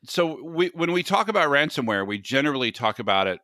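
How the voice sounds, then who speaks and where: A man's voice: fairly high pitch, very animated, bright, forceful tone, dry recording. An American man hosting a podcast in a casual, chatty way, joking at his own expense. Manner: chatty, self-deprecating, quick to laugh. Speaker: podcast host. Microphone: sensitive podcast mic.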